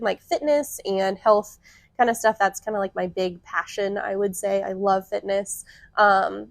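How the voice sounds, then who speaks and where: A woman of about thirty co-hosts a podcast in a casual, upbeat chat. She laughs easily and scatters fillers through her talk, and her voice has a gravelly, creaky edge. The sound is dry and close, with almost no room around it. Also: mid-range voice, dry recording. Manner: chatty and upbeat, laughs often, many fillers. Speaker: podcast co-host.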